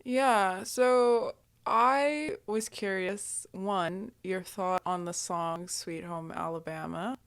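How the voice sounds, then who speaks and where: A woman's voice: medium pitch, fairly even intonation, slow pace, clean tone, dry recording. A woman host from New Jersey, asking questions in a casual interview, hesitant and full of ums. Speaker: YouTube host.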